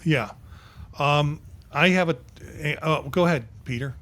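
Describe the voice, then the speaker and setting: A man's voice: on the high side, measured pace, fairly bright tone. A man in his sixties hosting a podcast panel, rambling along with many fillers. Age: 60s. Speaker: podcast host. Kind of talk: casual panel chat.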